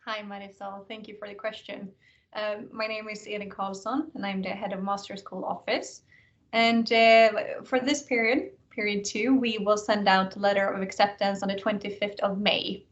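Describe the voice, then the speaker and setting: A woman's voice: medium pitch, little pitch movement, moderate pace, muffled recording. Woman answering questions, distant mic. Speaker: admissions office head. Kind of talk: answering questions in an online session. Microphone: video call. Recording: distant mic on online call.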